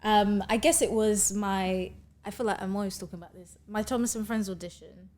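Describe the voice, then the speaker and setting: A woman's voice: mid-range voice, fairly even intonation, fairly quick, a little mellow. A young woman with a Kenyan accent, a guest actor telling a self-deprecating story in a casual podcast chat. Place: podcast studio with brick wall. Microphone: studio mic on stand.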